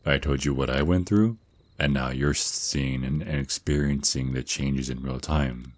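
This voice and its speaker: A man's voice: rumbling low, unhurried. A man voicing an affectionate, protective vampire naga boyfriend in an intimate ASMR roleplay, mentoring his partner with slightly formal wording and small stammers. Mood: tender, explanatory. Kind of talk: ASMR roleplay, intimate one-sided scripted dialogue.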